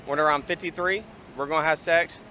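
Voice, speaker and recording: A man in his thirties speaks in a bright, forceful, slightly high voice. He makes his point emphatically, repeating the same phrase for effect in casual talk. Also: steady tone, fast talker. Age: 30s. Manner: emphatic, repetitive. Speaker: interviewee. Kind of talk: casual chat.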